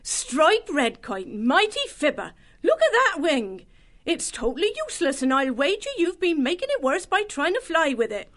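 Sister May, a brisk, no-nonsense healer mouse who fusses and scolds with real care, as a woman plays her. Her voice is very high and lively, bouncing up and down. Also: heavily acted. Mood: scolding. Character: brisk, bossy kindly female healer mouse. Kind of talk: audiobook character voice.

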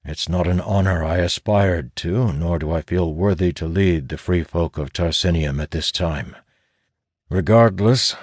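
A man voicing a reluctant, solitary ranger leader, grim and determined, with an earnest, measured delivery in a fantasy audiobook. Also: sits low, a little mellow, breathy edge, dry recording, studio quality. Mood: humble, solemn.

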